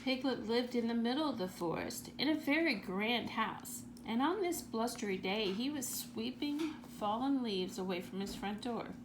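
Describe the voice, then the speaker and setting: A woman reading a children's storybook aloud in an animated narrating style. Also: a little high, moderate pace, hardly any pauses, background noise. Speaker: storybook reader.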